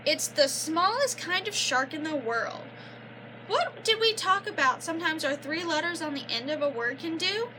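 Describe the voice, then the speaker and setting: Young woman teacher doing a kids book read aloud, really high lively voice, goes slow, some noise behind. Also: low-quality recording. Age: young adult. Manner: instructive, prompting listeners with questions and affirmations. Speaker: student teacher reading aloud. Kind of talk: children's picture book read-aloud with explanations and questions.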